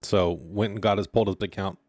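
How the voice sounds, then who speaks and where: A man with a deep voice co-hosting a casual podcast, blunt and quick to swear. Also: fairly even intonation, darkish tone, dry recording. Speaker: co-host.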